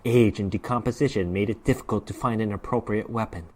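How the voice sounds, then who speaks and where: A man's voice: lowish voice, fairly even intonation, fast, darkish tone. A man narrating a horror short story as a dramatic reading, with theatrical, emphatic delivery.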